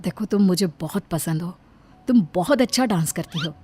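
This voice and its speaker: A woman's voice: fairly low pitch, lively intonation, moderate pace, dark tone, slight background noise, lightly acted. A woman voicing an earnest, lovestruck young man in a cartoon moral story. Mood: earnest, insistent. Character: smitten, earnest young man proposing marriage. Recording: background music under the voice.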